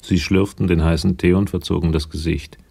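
An actor reading sober, measured narration for a German radio crime drama. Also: male voice, low-pitched, steady tone, gravelly, mellow, breathy edge, exaggerated voice. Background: music.

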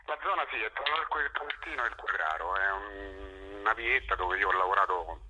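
A man with a Roman accent talks casually as an interview guest, halting and repeating words as he corrects himself. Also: sits low, bright, forceful tone, husky.

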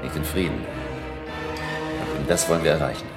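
A man's voice: extremely low pitch, normal speed, gravelly. An actor playing a resolute, authoritative male professor and vampire hunter in a radio drama, grave and commanding. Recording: music behind the voice.

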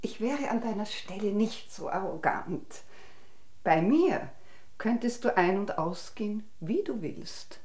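An actress playing a scornful jilted mistress in a German radio drama, pointed, insinuating and coolly menacing. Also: female voice, mid-range voice, big pitch swings, unhurried, a little mellow. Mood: veiled threat.

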